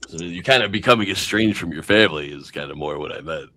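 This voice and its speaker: A man's voice: low voice, quick pace, pushed and bright. A man on a livestream in casual chat, with sardonic, crude and profane remarks. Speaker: stream guest.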